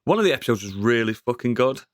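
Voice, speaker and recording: Sweary, excitable man. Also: medium pitch, quick pace, dry recording. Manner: sweary, excitable, laughs often. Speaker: podcast co-host. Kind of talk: casual review chat.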